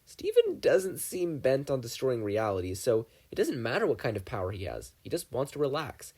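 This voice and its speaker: A man's voice: mid-range voice, wildly swooping pitch, moderate pace, darkish tone, breathy edge, heavily acted. A man voicing a blunt, exasperated young teenage girl in an audiobook reading. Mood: easygoing. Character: cheerful girl, a young teen, dismissive. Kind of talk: fan fiction audiobook reading.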